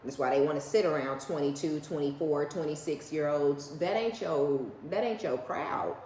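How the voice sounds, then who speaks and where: Low-voiced, sassy American woman. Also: normal speed, dark tone. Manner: blunt, sassy, slangy, swears freely; often seeks agreement with short tag questions. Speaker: tarot reader, online host. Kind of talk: casual, unscripted tarot reading addressed to viewers. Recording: echoey room with faint background noise.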